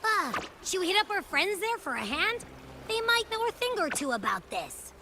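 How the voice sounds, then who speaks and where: A woman's voice: very high-pitched, big pitch swings, moderate pace, music in the background, exaggerated voice. A woman voicing a small, friendly, girlish companion in a video game, eager to help and talking casually and expressively.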